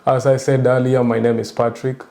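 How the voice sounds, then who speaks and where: A young man, a soldier answering interview questions calmly in short replies. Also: medium pitch, a little fast, vocal fry. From raised in Kenya, born in Rwanda. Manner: brief, calm answers. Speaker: guest, US Army soldier.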